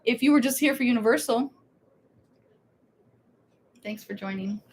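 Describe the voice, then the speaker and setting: A woman's voice: a little high, a little slow. A woman co-hosting a casual theme park live stream, chatty and laughing, rambling with restarts.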